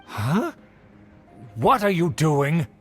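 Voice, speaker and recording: An actor playing a haughty, scheming, middle-aged aristocrat uncle in a video game, declaiming pompously. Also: male voice, fairly high pitch, very animated, drawn-out words, heavily acted. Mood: shocked, indignant. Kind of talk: video game character acting. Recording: music behind the voice.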